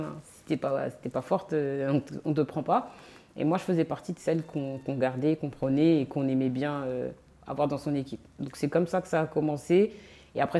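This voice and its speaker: Thirties French woman, interview guest: low, mellow voice, relaxed and halting, lots of fillers. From France. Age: 30s. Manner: relaxed, hesitant, many fillers. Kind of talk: casual interview chat. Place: on location in a sports venue.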